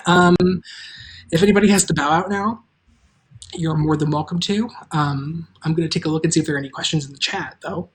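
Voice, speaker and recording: A woman hosting a live table read, chatting informally and a little haltingly with the stream audience. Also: sits low, poor audio. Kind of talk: casual livestream chat.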